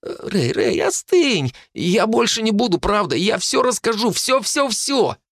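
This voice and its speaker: A man voicing a cowardly young thug who stammers and pleads in a high, strained voice. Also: steady tone, moderate pace, creaky, pushed and bright, no echo, clean studio sound, exaggerated voice. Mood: panicked.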